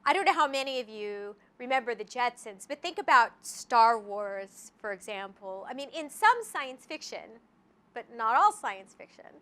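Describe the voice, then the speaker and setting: A woman giving an academic lecture to an audience, lively, with asides and rhetorical questions tagged on with "right?". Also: on the high side, moderate pace. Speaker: guest lecturer. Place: lecture hall. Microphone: handheld or podium mic.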